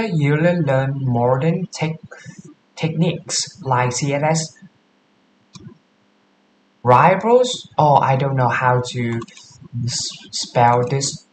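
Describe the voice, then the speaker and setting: A man from Vietnam with a Vietnamese accent, live streaming as a coding beginner and reading course text aloud in halting English. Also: mid-range voice, roomy sound, a little hiss or hum, poor audio. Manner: halting, often stops to read and correct himself.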